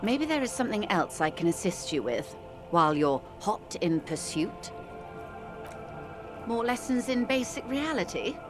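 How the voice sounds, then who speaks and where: An actress playing a wealthy, cultured negotiator in a video game, wry, composed and condescending, with refined, dryly ironic delivery. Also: female voice, medium pitch, sing-song, unhurried, music in the background. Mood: amused, obliging. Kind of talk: video game dialogue acting.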